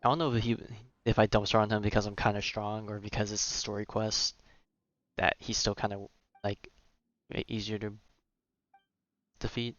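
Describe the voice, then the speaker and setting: A man with a lowish, fairly flat voice chats casually as he plays a video game on stream. He thinks out loud and mutters asides as he goes. The sound is close and dry, through a slightly dull mic. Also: moderate pace, no room sound. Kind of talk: casual game commentary, reading dialogue aloud.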